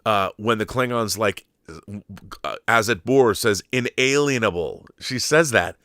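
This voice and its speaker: Man, low voice, dry close sound, rambling host, opinionated pop culture commentary, emphatic and sweary. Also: measured pace, bright, forceful tone, dry recording. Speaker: host answering live viewer questions.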